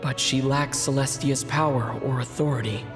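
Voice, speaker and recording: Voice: medium pitch, fairly even intonation, normal speed, in a large hall, over music, close to an everyday voice. A voice actor playing an earnest young traveler hero who speaks thoughtfully in a game cutscene. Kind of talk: video game cutscene voice acting.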